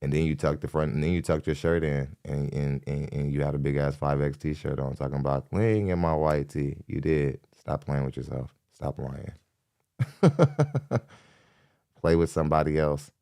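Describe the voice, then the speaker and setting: A man's voice: rumbling low, normal speed, gravelly, dark tone, no room sound, clean studio sound. A man hosting a podcast, giving blunt, mocking live reaction commentary with laughs and repeated jabs. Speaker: podcast host reacting to a clip.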